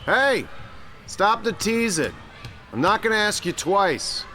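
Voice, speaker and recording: A man's voice: sits high, very animated, slow, pushed and bright, faint music behind, exaggerated voice. A man playing a stern but chummy gym teacher in an audio drama.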